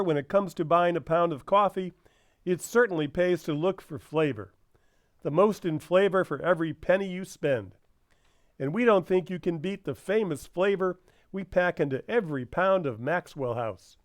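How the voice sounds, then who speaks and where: Man acting high-pitched genial radio announcer. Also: expressive, moderate pace, no echo, clean studio sound. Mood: genial, persuasive. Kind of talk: old-time radio advertising narration.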